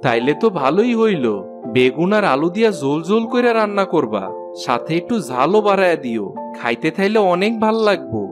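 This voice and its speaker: A man's voice: high-pitched, very animated, brisk, over music. A man voicing a demanding village farmer in a cartoon, with a rural eastern Bengali accent. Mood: pleased. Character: demanding village farmer, grown son and husband. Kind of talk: cartoon character acting.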